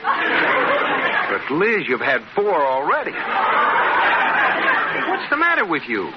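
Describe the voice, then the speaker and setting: An actor playing an exasperated, grumbling but indulgent husband, emphatic, in an old radio situation comedy. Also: male voice, a little high, wildly swooping pitch, takes it slowly, over a noisy crowd, full character voice. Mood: impatient. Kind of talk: 1940s-50s radio situation comedy acting. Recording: muffled noisy old radio, studio audience.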